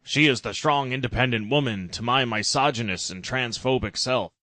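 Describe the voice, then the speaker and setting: A man voicing a villainous, slow-witted male president with a declamatory manner in a parody story. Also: mid-range voice, bright, forceful tone, dry recording, pitched above the performer's own voice, natural voice. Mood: wary, musing.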